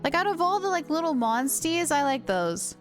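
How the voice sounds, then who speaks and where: High, animated woman streaming a game. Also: moderate pace. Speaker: game livestreamer. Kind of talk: casual gameplay commentary. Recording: game music behind the voice. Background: video game music.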